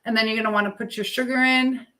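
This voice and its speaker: A woman's voice: a little high, steady tone, fast, bright, forceful tone. The chatty American woman you'd hear on a casual evening livestream, talking to her regulars by name from the kitchen counter while she cooks. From United States. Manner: chatty, reading out and answering viewer comments. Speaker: livestream host. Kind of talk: casual live chat with viewers while cooking. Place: home kitchen.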